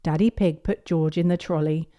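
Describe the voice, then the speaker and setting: A woman reading a picture book aloud to young children in an expressive storytelling manner. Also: low voice, moderate pace, highs cut off. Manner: expressive, clearly paced delivery of picture-book narration.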